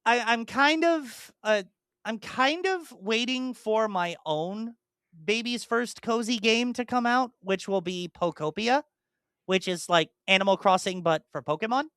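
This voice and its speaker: A man co-hosting a casual podcast, rambling with lots of fillers and restarts, slipping into animated, mock-exasperated asides. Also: sits high, a little slow, clear, resonant tone, no room sound, studio quality. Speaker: podcast co-host and streamer.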